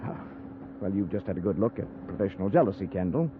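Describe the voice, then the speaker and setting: An actor playing a cocky, self-assured young US cavalry lieutenant in a 1950s radio drama, with a breezy way of talking. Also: male voice, sits low, big pitch swings, fast. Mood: scornful. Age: young adult. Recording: muffled, noisy 1950s radio recording.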